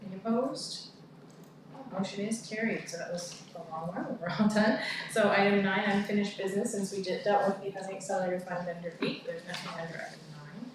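A woman with a Canadian accent chairing a town council meeting, reading motions and calling votes in a brisk, procedural way. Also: mid-range voice. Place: large council chamber. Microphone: far room mic. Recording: echoey room from far off, background noise.

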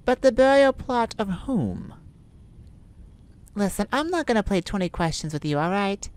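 A woman voicing a feisty, sardonic male vampire with a softer side, in a theatrical ASMR roleplay addressed to the listener. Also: medium pitch, moderate pace, lightly acted. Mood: firm, impatient. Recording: steady rain ambience behind the voice. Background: rain falling.

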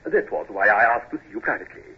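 Suave, husky, swooping courtly minister. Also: male voice, lowish voice, lively intonation, quick pace. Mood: cautious, diplomatic. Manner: unctuous, hesitant, diplomatic, chuckling. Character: suave, courtly Russian government minister, middle-aged or older. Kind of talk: 1950s radio drama acting. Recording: dull, lo-fi old radio recording.

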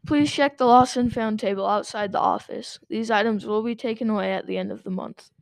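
A man's voice: high voice, brisk, creaky, no room sound, rough recording. A teenage boy, the student body president, reading school announcements upbeat on a handheld mic. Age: teen.

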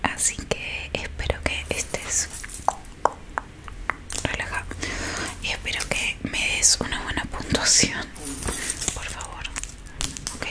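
Whispering young Argentine woman, unhurried. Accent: Argentine Spanish. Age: young adult. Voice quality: breathy whisper. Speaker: ASMR performer playing a technician who erases memories. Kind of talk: ASMR roleplay. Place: small dim room indoors. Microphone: close microphone in front of her.

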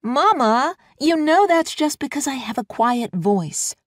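Woman doing a quiet-voiced, defensive young student. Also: high-pitched, animated, a little slow, dry recording, studio quality, pitched above the performer's own voice.

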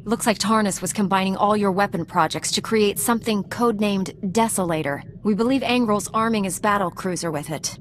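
An actress playing an earnest, resolute Jedi knight in a video game cutscene, speaking calmly and firmly. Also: female voice, medium pitch, fairly quick, hardly any pauses, a little hiss or hum, lightly acted. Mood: earnest, serious. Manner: calm, firm, earnest.

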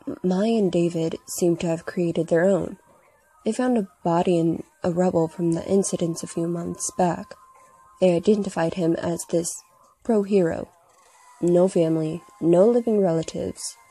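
Woman as a mellow, detached young villain man. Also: lowish voice, fairly even intonation, husky, rough recording. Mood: calm, clinical. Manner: formal, measured, explanatory. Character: cool, detached young male villain reporting experiments. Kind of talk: comic dub.

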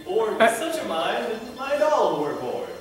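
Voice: mid-range voice, huge pitch swings, moderate pace. An actor on stage playing a contented, placid prophet who hands out aphorisms in a laid-back way. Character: contented, mellow robed prophet spouting blessings. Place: indoor theater stage. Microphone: far camera. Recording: echoey theater from far off, loud music.

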